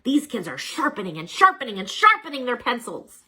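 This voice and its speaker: Woman voicing a dramatic scheming boss bunny, animated. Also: fairly high pitch, husky, voice pushed up, full character voice. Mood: grave, conspiratorial. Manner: dramatic, conspiratorial, drawn-out emphasis.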